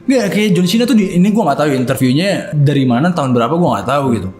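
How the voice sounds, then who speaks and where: A man's voice: a little high, animated, racing, a little mellow. Young Jakartan man chatting in an echoey room. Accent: Jakartan Indonesian. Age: 20s. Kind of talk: casual chat, slangy. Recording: echoey room with music underneath.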